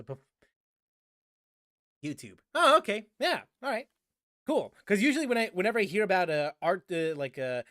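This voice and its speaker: A man's voice: high voice, fairly bright tone, no echo, studio quality. A man streaming a game, chatting casually and rambling with restarts while reacting to his chat. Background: game music.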